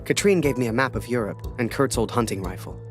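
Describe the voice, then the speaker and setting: An actor playing a weary, disillusioned young war photographer, narrating a game story in a rueful, introspective way with music behind. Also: male voice, mid-range voice, fast, lightly acted. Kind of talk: reflective first-person game narration.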